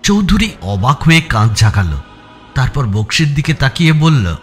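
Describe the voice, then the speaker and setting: A man narrating a suspense audio story in the first person, with expressive, dramatic delivery. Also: medium pitch, moderate pace. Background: background music.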